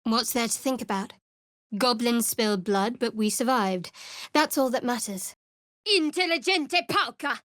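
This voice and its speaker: A woman playing a guarded, sardonic cleric of a dark goddess in a video game, with a dry, wry delivery. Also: a little high, expressive, unhurried, no echo. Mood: firm, guarded, then incanting. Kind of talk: video game character voice lines.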